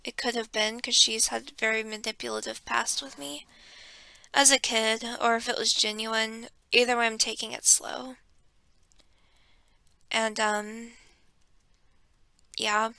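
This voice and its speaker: A young woman quietly telling a personal story in a home vlog, keeping her voice hushed, with frequent ums and pauses, close to a desk microphone. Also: fairly high pitch, level tone, gravelly, clear, resonant tone, no echo. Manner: hushed and reflective, with many ums. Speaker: vlogger.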